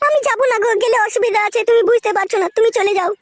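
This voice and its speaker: A woman's voice: extremely high pitch, steady tone, very fast, a little hiss or hum. A woman voicing a plaintive, coaxing widowed village woman in a comic cartoon. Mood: pleading. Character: pleading widowed village woman, apologetic but unyielding lover.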